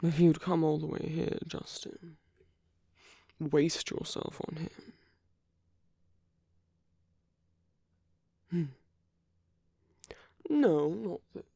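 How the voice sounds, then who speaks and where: A suave masquerade villain, acted out by a performer for a romantic ASMR roleplay, speaks in a husky, breathy near-whisper. The delivery is slow and teasing, with lingering pauses and insinuation. It is recorded very close and dry. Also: mid-range voice, mellow, dry recording, heavily acted. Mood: flirtatious, coy. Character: suave flirtatious villain at a royal masquerade ball. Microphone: close dry microphone.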